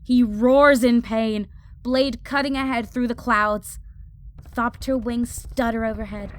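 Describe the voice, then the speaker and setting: A woman's voice: on the high side, a little hiss or hum. A woman playing a hot-headed young female fire mage, narrating an audio drama in the first person with intense, clipped phrases. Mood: tense, battling.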